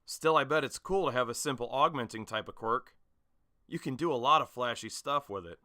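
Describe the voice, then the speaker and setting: A man's voice: medium pitch, brisk, sharp tone, no room sound, clean studio sound, natural voice. A man voicing a brash, hot-headed teenage superhero student in a comedic anime dub style, with punchy, emphatic delivery. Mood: eager, envious. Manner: punchy, emphatic, indignant or eager.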